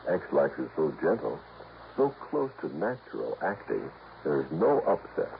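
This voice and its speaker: A man reading a scripted 1950s radio commercial as an announcer, measured and polished. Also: very deep voice, big pitch swings, creaky. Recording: dull, noisy old radio recording.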